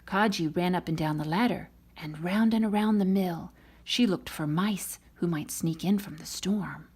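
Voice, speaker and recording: A woman reading a children's storybook aloud in an expressive, dramatic manner. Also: lowish voice, moderate pace, slightly rough. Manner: dramatic, voices the characters' lines. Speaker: storybook reader.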